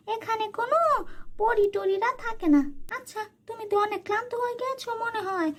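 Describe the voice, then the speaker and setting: A woman's voice: very high voice, voice pushed up. A woman voicing a greedy, scheming aunt in a children's cartoon, with a gushing, wheedling manner. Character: scheming, greedy aunt, sugary then pleading.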